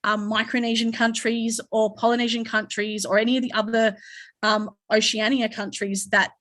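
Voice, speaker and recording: A woman's voice: a little high, level tone, normal speed, fairly bright tone. An Australian woman speaking on a conference panel, rambling, with frequent restarts and fillers.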